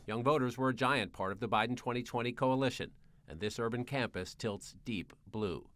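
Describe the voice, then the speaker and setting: A man's voice: medium pitch, brisk, fairly bright tone, dry recording. A man narrating a scripted news report as a correspondent.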